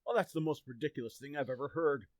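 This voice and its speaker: A performer acting a booming, indignant, blustering father in a radio comedy. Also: fast talker, a little mellow, no room sound, lightly acted. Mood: outraged. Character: loud, outraged, blustering father Ed.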